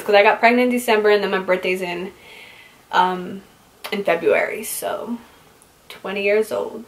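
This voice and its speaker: A young woman in her twenties, originally from California and raised in Utah, answers viewer questions in a casual, chatty vlog, filling her talk with "like". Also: medium pitch. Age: 20s. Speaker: beauty vlogger. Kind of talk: casual get-to-know-me Q&A.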